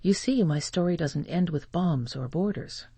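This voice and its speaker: A narrator reads a scripted first-person story for language learners in a measured, careful way. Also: mid-range voice, dark tone, slightly rough, dry recording.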